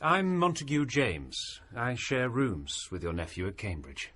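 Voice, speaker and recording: A gentle young scholar in a radio play, polite and reassuring toward his friend. His voice sits mid-range and moves freely. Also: mid-range voice, wildly swooping pitch, measured pace, a little hiss or hum. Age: young adult. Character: mild young scholar, book lover. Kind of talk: radio drama acting.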